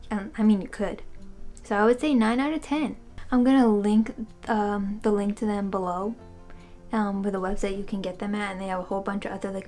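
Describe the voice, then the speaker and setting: A woman's voice: mid-range voice, mellow. Chatty young woman reviewing a snack. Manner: chatty, filler-heavy, thinking aloud. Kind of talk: casual taste-test review. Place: small room, seated on a couch.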